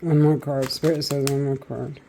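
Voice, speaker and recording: A man with a raspy voice doing a chatty tarot reading, talking straight to his viewers. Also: medium pitch, level tone, moderate pace, dark tone, a little hiss or hum. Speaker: tarot reader.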